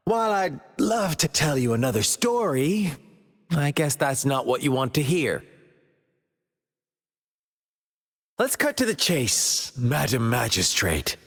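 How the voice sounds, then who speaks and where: A sly, taunting game villain. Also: male voice, medium pitch, fairly bright tone, husky, in a large hall, heavily acted. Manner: playful taunting, teasing drawn-out delivery with whispers. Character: mocking young male villain, theatrical and sly. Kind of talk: video game cutscene voice acting.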